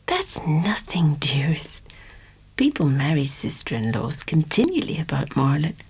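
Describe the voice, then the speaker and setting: A man voicing a tender, weary young country woman in a soft, breathy falsetto murmur, for a dramatic audiobook. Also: very animated, unhurried, a little hiss or hum. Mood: earnest pleading.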